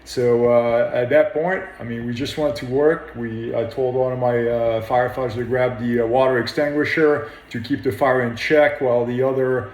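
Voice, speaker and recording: A man speaks in an interview as a firefighter. His medium-pitched voice sounds plain and modest, recorded with a noticeable room echo. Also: fairly even intonation, normal speed, hardly any pauses, darkish tone. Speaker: firefighter officer. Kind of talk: interview account of an incident.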